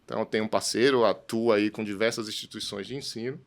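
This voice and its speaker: A man with a Brazilian Portuguese accent hosting a conversational business discussion in a halting way, often adding tag questions. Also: lowish voice, steady tone. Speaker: host.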